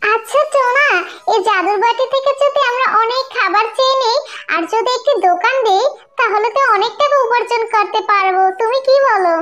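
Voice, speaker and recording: Woman voicing a shrill, fretful cartoon mother bird. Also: a little fast, fairly bright tone. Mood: urging. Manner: nagging, pleading. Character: fretful scolding mother bird, young wife. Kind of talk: children's cartoon voice acting.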